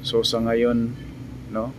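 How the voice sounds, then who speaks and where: A man narrating drone footage as a vlogger, halting, with frequent pauses. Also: medium pitch, monotone, slight background noise, low-quality recording. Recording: music behind the voice. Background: music.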